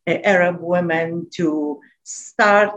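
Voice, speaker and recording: A woman's voice: lowish voice, takes it slowly, dull audio. Earnest woman, English. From Iraq. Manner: earnest, repeats key phrases, non-native grammar. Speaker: nonprofit founder, guest. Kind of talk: interview answers.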